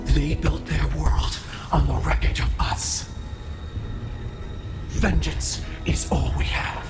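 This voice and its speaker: A man playing a wounded, vengeful ancient spirit in a fantasy game, with a breathy whispering voice. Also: a little high, level tone, slow pace. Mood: vengeful grief. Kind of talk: dramatic fantasy game acting. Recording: distant, under loud game music. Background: loud game music.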